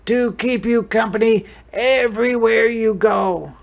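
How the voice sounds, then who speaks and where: Man as storybook grandfather, affectionate, tender, encouraging, raised pitch, unhurried. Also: vocal fry, exaggerated voice.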